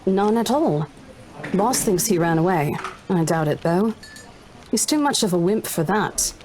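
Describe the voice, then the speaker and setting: An actress voicing a brash, playful saleswoman colleague in a visual novel game, with a blunt, expressive way of talking. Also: lowish voice, unhurried, slight background noise, rough recording. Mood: casual, blunt. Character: brash, teasing saleswoman colleague, switching to professional politeness.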